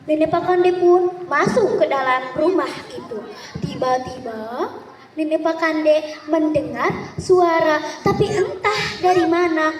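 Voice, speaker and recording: A woman narrating a folk tale theatrically as a contestant, deliberate and with dramatic pauses. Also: high-pitched, crowd noise. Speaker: storytelling contestant. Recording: echoey hall, distant microphone.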